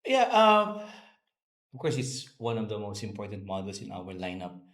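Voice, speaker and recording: A middle-aged man with a Filipino accent speaks in a low voice with a gravelly creak. He is an executive giving unscripted interview answers, often pausing and doubling back on words. The room adds clear echo. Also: huge pitch swings, a bit bright. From Philippines. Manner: hesitant, repeats words as he goes. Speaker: car company executive being interviewed. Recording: roomy, echoey indoor sound.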